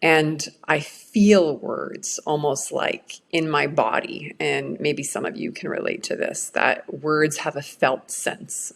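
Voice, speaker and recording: Slow, low, gravelly woman presenter. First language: Swedish. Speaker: change management presenter. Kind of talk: recorded workshop talk.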